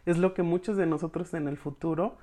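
The speaker has a Mexican accent and a medium-pitched voice. They retell a story informally, as if to a group of friends. The delivery is slangy and expressive, with dialogue casually acted out along the way. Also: brisk. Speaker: podcast reviewer recapping episodes for followers. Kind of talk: informal storytelling recap, addressing the audience as friends.

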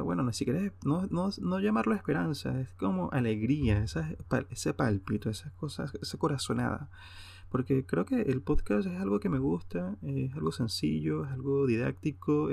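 A man's voice: medium pitch, gravelly, darkish tone, breathy edge, no room sound. A man with a Venezuelan accent talking off the cuff on a solo podcast, hesitant, informal and rambling. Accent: Venezuelan Spanish. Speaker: podcast host. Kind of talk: unscripted solo podcast monologue.

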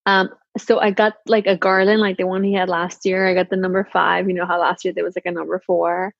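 A woman in her thirties chatting casually and telling stories in an animated way, quoting exchanges as she goes. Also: on the low side, brisk, vocal fry, no echo. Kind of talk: casual chat. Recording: slightly muffled, lo-fi audio.

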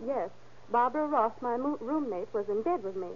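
A woman's voice: on the high side, lively intonation, normal speed, a little hiss or hum, close to an everyday voice. An actress playing an earnest young woman insisting on her innocence in an old radio drama. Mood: nervous. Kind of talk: 1930s radio acting. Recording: muffled old radio recording.

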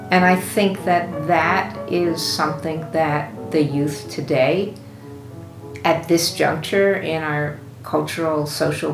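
A woman giving thoughtful, unscripted interview answers as a guest. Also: deep voice. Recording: roomy echo, faint music.

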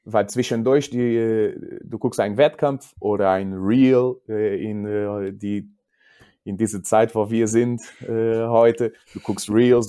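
A man's voice: fairly low pitch, mellow. A man with a Portuguese accent speaking as a non-native, a judo coach answering casually in an interview and searching for words. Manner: halting, searching for words in non-native German, repeats himself.

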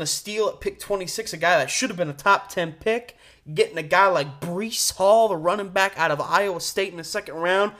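Young man with a higher-pitched voice, hosting sports talk. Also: a bit bright. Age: 20s. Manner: emphatic, informal, slangy delivery. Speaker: sportscast host on campus radio.

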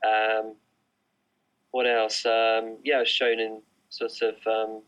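A man's voice: fairly low pitch, completely flat delivery, slow, fairly bright tone, smooth. A middle-aged man, an artist, answering interview questions online in a casual, hesitant way with many fillers and restarts. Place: remote online recording.

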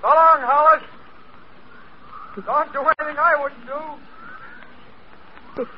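An actor playing a plain-spoken, halting spaceman facing death in a 1950s radio drama. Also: very high-pitched, fairly even intonation. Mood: resigned farewell. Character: gruff dying spaceman softened by shame. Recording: muffled, hissy 1950s radio recording.

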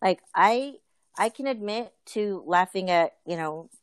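A woman's voice: mid-range voice, lively intonation, unhurried, no room sound. A woman in a casual group conversation, talking earnestly with many fillers and restarts. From Filipino family.

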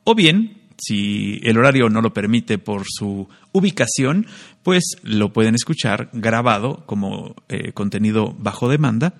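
A man's voice: on the low side, animated, a little slow, vocal fry. Male co-host, easy playful manner, casual announcer chat. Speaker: podcast co-host.

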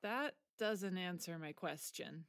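A woman playing a sardonic teenage high school soccer player in an audio drama, dry and blunt. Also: expressive, slow pace, fairly bright tone, dry recording, full character voice. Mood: wary. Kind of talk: naturalistic audio drama acting.

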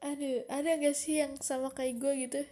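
A woman's voice: sits high, little pitch movement, normal speed, warm tone. A young woman from Bogor with a Jakarta slang accent, hosting a casual solo podcast and rambling to herself in a chatty way. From Bogor, Indonesia. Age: young adult. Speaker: podcast host.

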